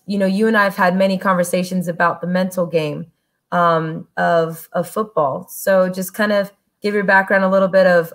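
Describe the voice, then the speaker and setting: Woman hosting casually, lowish voice. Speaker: host. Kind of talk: casual conversational hosting of an online event. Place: video call.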